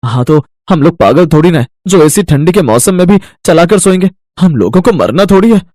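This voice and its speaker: A grown man in a moral-story cartoon, performed by a male actor. His voice is on the high side and very dry. He exclaims in exasperation. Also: expressive, fairly quick, mellow, no echo, natural voice. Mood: exasperated. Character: men of a cartoon family and their doctor. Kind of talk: cartoon dialogue acting.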